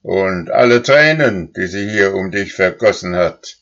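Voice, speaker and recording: A man's voice: sits low, lively intonation, a little slow, a bit bright, dull audio, heavily acted. A man reading aloud, voicing an old, wise and very angry female cow who bellows threats in a storybook. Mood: furious. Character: oldest, wisest, angriest female cow.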